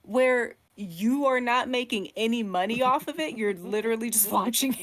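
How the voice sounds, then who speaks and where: A woman co-hosting a podcast, chatting casually and giving her opinions in an animated, emphatic way. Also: a little high, moderate pace. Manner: animated, emphatic, mimics others in quoted asides. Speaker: podcast co-host.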